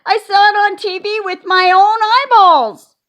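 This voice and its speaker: A woman reading an audiobook and voicing a spunky, bossy kindergarten girl with shrill, excitable exclamations. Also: a little slow. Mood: insistent. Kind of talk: children's audiobook character voice.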